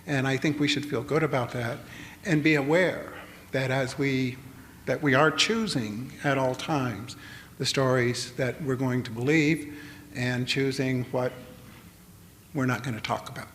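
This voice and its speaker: An older man gives a public lecture at an even, middling pitch, often stopping and restarting his thoughts. His voice carries a long hall reverb, with a faint hiss of noise underneath. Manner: halting, many fillers and restarts.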